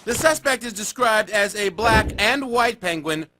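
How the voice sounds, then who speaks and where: A man's voice: high voice, lively intonation, a little slow, sharp tone, slight background noise, heavily acted. A man voicing a wry, chatty male penguin TV sports reporter in a game cutscene, with music behind. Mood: newsy. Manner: wry, upbeat newscaster banter. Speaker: news anchor. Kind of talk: game cutscene broadcast patter.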